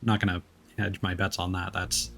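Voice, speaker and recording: A man's voice: deep voice, flat delivery, normal speed, gravelly, a bit bright. A man doing casual, rambling let's play commentary as a game streamer, chatty and easygoing.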